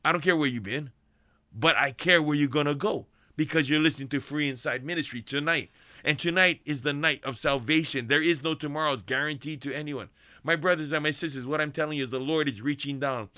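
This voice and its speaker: A man preaching a fervent radio sermon to people in prison, repeating phrases and swinging between high urgent calls and low hushed passages. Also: fairly quick, dry recording. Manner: fervent, repetitive preaching with big pitch swings.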